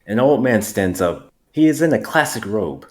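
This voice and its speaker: Man, narrator, stage directions, lowish voice, matter-of-fact. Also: lively intonation, moderate pace, a little mellow. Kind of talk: live dramatic reading.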